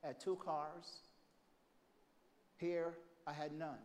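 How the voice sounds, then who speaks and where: A man with a Jamaican accent preaching a sermon, moving between loud, emphatic declarations and soft, hushed storytelling. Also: a little high, level tone, slow. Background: congregation calling out amens.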